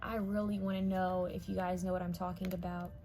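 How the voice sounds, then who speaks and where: A young woman giving a casual pick-a-card tarot reading to her viewers, her voice a little raspy from many readings, now and then dropping to a whisper as she reads the cards. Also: on the low side, level tone, brisk, dark tone, a little hiss or hum. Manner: intuitive, addresses viewers directly, drops to whispers while reading cards.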